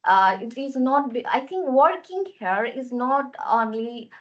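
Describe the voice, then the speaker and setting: A woman's voice: high-pitched, expressive, measured pace, highs cut off. Earnest young Nepali woman. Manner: earnest, fluent, long questions. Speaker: audience member asking a question.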